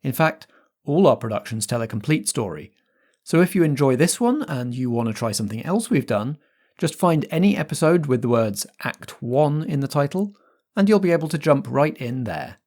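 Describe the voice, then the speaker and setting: A man's voice: medium pitch, sing-song, moderate pace, darkish tone. A man hosting and game-mastering a tabletop roleplay show, moving between announcements, narration and chat.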